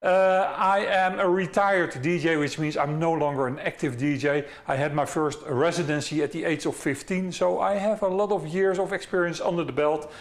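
Dutch man, 50s, high-pitched, casual stream host from a home studio. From Netherlands. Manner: chatty, frequent "uh" fillers. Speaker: DJ educator hosting a live stream. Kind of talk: live stream talk to chat.

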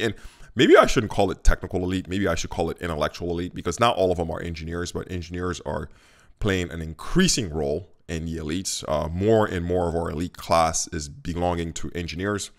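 A man in his thirties from Haiti, chatting casually and candidly to camera from his home kitchen into a studio mic, with lots of fillers. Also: deep voice. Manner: conversational and candid, with frequent fillers. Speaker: vlogger. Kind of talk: casual talking-head vlog. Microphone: studio mic on a stand in front of him.

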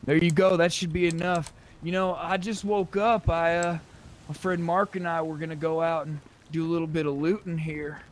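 A man's voice: a little high, flat delivery, normal speed. A man chatting casually as he guides other players in an online roleplay game. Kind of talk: casual chat while roleplaying in a video game. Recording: faint keyboard and game background noise.

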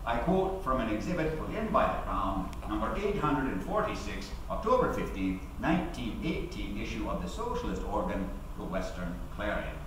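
Male actor as eloquent, defiant early-1900s labour orator on trial, stage reading, lively intonation, distant-miked in a reverberant hall. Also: lowish voice, moderate pace, few pauses. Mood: measured, formal. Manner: formal, rhetorical, emphatic. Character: 1919 labour activist defending himself at trial, eloquent and defiant. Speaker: actor giving a dramatic reading. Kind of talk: theatrical courtroom defence oration. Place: large hall, at a podium. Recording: echoey hall, distant mic, faint noise.

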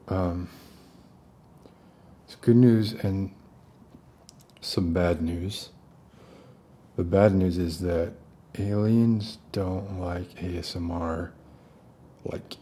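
A man in his thirties doing an ASMR roleplay as an unstable, friendly loner, soft-spoken and rambling in halting mutters. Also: low-pitched, breathy edge. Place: basement-like room with concrete walls.

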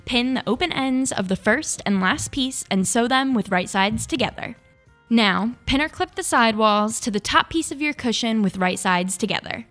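A woman's voice: on the high side, animated, normal speed, fairly bright tone. A young woman hosting a craft tutorial, reading scripted step-by-step instructions. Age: young adult. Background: background music.

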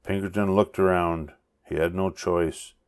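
An older man reading a children's story aloud with expressive narration. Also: low voice, measured pace, smooth. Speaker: storybook reader.

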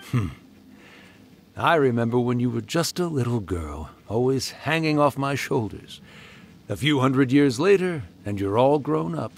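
A man's voice: mid-range voice, lively intonation, measured pace, gravelly, a little hiss or hum. A man voicing an ancient spirit storyteller who is wry, resigned and fond of an old friend, in a video game. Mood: wistful.

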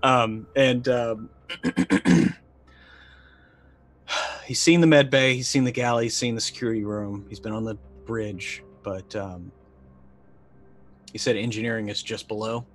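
A man's voice: lowish voice, steady tone, a little slow, long pauses, soft background music. A middle-aged man playing a tabletop roleplaying game, narrating casually and thinking aloud in hesitant bursts. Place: indoor room, on a video call.

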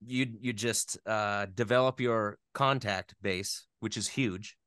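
A man in his thirties chatting casually as an interview guest, thinking aloud in a halting, self-deprecating way. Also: on the low side, a bit bright, smooth, no echo, clean studio sound. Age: 30s.

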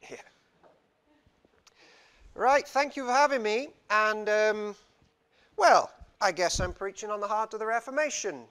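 A man's voice: sits high, unhurried, long pauses, a bit bright, clean tone. A young man from Wales giving a chatty, self-deprecating sermon as a visiting preacher in a small church, on a lapel mic. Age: young adult. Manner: gestures freely while talking. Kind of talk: informal sermon. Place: small church hall, indoors.

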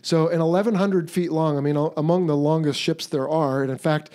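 A man's voice: a little high, steady tone, a little fast, warm tone, no echo, studio quality. A middle-aged man hosting a podcast, a retired Navy pilot, chatting in a friendly, upbeat way with his guest. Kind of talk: casual interview hosting.

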